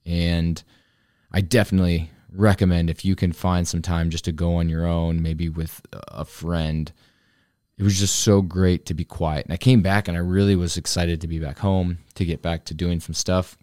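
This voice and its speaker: Man, forties, very deep voice, dry close sound, earnest, rambling reflective talk. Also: flat delivery, normal speed, mellow, no echo. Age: 40s. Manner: earnest, rambling, with many restarts. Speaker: vlogger, fitness coach. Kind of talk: reflective solo talk to camera.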